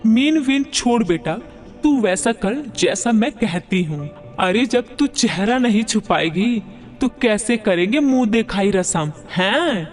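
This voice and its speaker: A man plays a proud, middle-aged village mother-in-law in a cartoon moral tale. The voice is very high and unhurried, with a boastful, scolding, rustic way of talking. Music plays clearly underneath. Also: lively intonation. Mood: bossy. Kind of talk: Hindi cartoon moral story dialogue.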